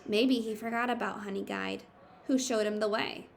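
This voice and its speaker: A woman's voice: mid-range voice, fairly even intonation, moderate pace, vocal fry, slight background noise. A woman reading a picture book aloud like a teacher, expressively, with simple explanatory asides for children.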